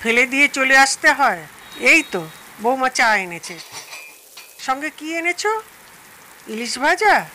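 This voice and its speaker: A woman playing an older mother-in-law, with expressive, drawn-out delivery in an audio drama. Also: high voice, slight background noise, heavily acted, rough recording. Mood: matter-of-fact. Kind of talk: Bengali audio drama acting.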